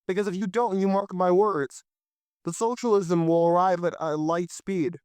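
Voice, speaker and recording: A young man hosting a solo political podcast, impassioned and preachy, his voice a bit hoarse, speaking straight to his listeners. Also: high voice, steady tone, normal speed, a little mellow, no echo, studio quality. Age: young adult. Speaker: podcast host.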